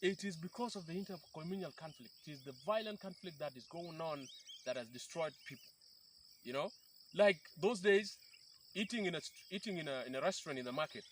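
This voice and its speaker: Interviewgast, Mann in den Dreißigern aus dem Südsudan, erklärt eindringlich und setzt oft neu an; leise Insekten im Hintergrund. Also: male voice, on the high side, animated, fairly quick, a little hiss or hum. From South Sudan. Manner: earnest, explanatory, with frequent restarts. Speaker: peace activist guest. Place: outdoors by a river in rural bushland.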